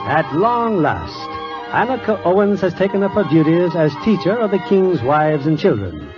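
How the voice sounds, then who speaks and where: A man narrates an old-time radio drama in a theatrical, formal host's style. His pitch is somewhat high and very lively, with music under him on a muffled recording. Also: moderate pace. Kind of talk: 1940s radio scripted narration.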